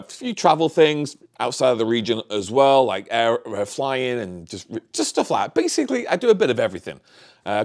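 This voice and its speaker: Middle-aged man chatting casually to camera. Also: mid-range voice. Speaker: vlogger, channel host. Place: small home office, indoors.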